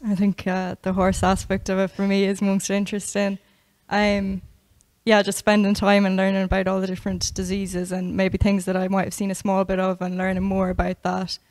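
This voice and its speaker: A young Irish woman speaks in a soft, hesitant way, with a very flat, even pitch. She is a veterinary student answering questions in a studio interview. The sound is close and dry. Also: normal speed, dry recording. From west of Ireland. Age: 20s.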